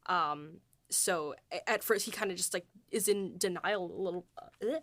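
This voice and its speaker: A woman's voice: fairly low pitch, moderate pace, fairly bright tone. A woman co-hosting a behind-the-scenes podcast, chatting casually with lots of fillers and laughs. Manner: chatty, many fillers, giggly. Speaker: podcast co-host and writer.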